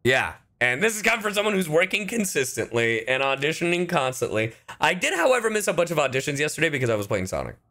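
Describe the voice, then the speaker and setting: A man chatting casually on a gaming livestream, animated and profane, swinging between loud outbursts and low asides. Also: fast, few pauses. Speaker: streamer and voice actor. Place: indoor livestream setup.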